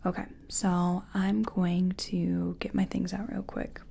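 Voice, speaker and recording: A woman speaking in a breathy whisper and soft tones, gently chatting in an ASMR personal-attention roleplay as a makeup artist. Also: fairly low pitch, level tone, slow pace.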